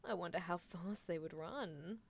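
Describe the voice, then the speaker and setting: A woman's voice: on the low side, moderate pace, creaky, slight background noise. A woman voicing an eccentric female mad scientist in an ASMR roleplay, gleefully menacing and doting, with a theatrical, musing, teasing delivery. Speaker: streamer performing a character roleplay. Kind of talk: live ASMR character roleplay, improvised replies to listeners.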